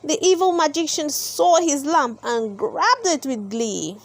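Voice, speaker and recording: A woman narrating a children's storybook aloud in an expressive, deliberate storytelling manner. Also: high voice, no echo.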